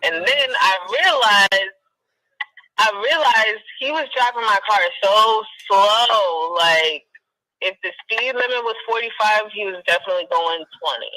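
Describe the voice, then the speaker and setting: Woman caller, exasperated casual storyteller, bright forward tone, dry thin call audio. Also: mid-range voice, a little slow, dry recording, poor audio. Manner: exasperated, repeats herself for emphasis. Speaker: caller telling her personal story.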